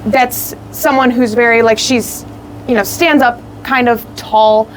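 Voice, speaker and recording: A young woman who is a puppeteer and actor, answering interview questions in an expressive, rambling way with lots of fillers. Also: a little high, a little slow, slight background noise, low-quality recording. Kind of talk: casual interview.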